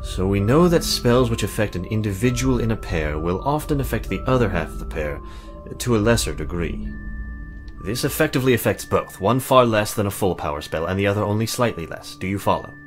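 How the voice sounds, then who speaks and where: A man performs an earnest, learned mage for a fantasy game. His voice sits low, and he speaks in exact, formal, measured phrases. Soft music runs underneath. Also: animated, close to an everyday voice. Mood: measured, grave. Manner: formal, precise, measured wording. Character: formal, scholarly male mage, earnest and obsessive. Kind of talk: visual novel character acting.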